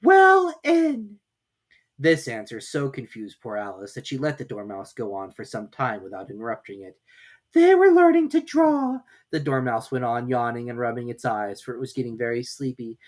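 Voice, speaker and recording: A woman reading a storybook aloud in an animated storytelling style. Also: very deep voice, a little fast, few pauses.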